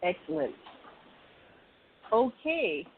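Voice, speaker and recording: A woman hosting and moderating a video-call panel, keeping time in a brisk, organizing way. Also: lowish voice, very animated. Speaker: host and moderator. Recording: tinny phone-like line with faint hiss.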